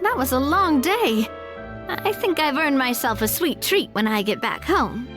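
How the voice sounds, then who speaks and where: A woman voicing a composed, polite young woman in a fantasy video game, speaking in an unhurried, expressive way. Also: high voice, over music. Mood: weary, content.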